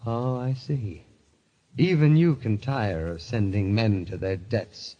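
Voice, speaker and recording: An actor playing a bitter, haunted young gambler turned murderer, grave and intense, in old-time radio drama style. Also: male voice, fairly low pitch, slow, warm tone. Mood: coldly accusing. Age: young adult. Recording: muffled vintage radio recording, music behind.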